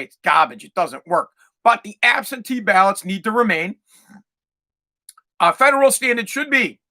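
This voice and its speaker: A man's voice: high voice, pushed and bright. A male podcast host delivering a profane, fired-up rant with lots of repetition. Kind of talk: ranting monologue.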